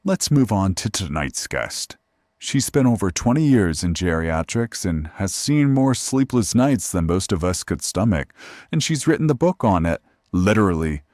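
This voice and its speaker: Deep, sardonic late-night radio host. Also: male voice, moderate pace, no room sound, clean studio sound. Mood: wry, teasing. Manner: deadpan, sarcastic. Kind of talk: scripted late-night radio show narration.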